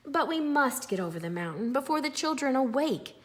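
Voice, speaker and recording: A woman's voice: high voice, sing-song, brisk, pitched above the performer's own voice. A woman voicing small storybook dolls and toys, pleading earnestly and politely for help.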